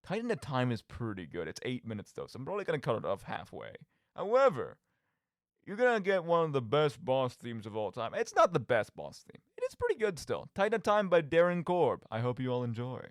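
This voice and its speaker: A man rambles casually as a solo radio host, with a very lively, swooping delivery. He has a theatrical streak and talks in chatty asides. His mid-pitched voice sounds close and dry. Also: brisk, no room sound. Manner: animated, theatrical mock outbursts, conversational asides. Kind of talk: casual rambling monologue about video games.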